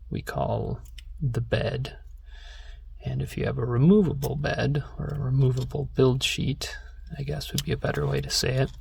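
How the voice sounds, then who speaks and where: Hushed, slow ASMR voice with creaky edges. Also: mid-range voice, slight background noise. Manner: hushed, gentle, unhurried, drifting into whisper. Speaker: ASMR video creator and host. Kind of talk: soft-spoken ASMR show-and-tell.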